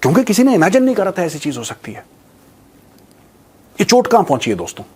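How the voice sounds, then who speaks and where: Male host, fairly high voice, very lively intonation, mocking informal commentary, rhetorical questions, English mixed in. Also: fast, slightly rough. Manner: repeats phrases for emphasis. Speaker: host of a news commentary show. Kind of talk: opinionated informal monologue to viewers on current affairs.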